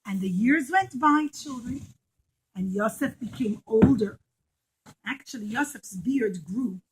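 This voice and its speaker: A woman's voice: on the high side, expressive, unhurried, noisy background. A woman storyteller narrating a puppet show to children in simple short phrases with emphatic repetition.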